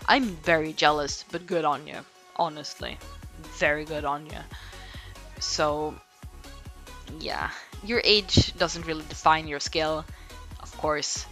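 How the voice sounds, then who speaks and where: Young woman rambling about art, bright tense voice, music playing underneath. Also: deep voice. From Sweden. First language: Swedish. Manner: rambling, frequent "like" fillers, self-laughing asides. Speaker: art vlogger. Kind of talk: casual talk to camera. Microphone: home microphone. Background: background music.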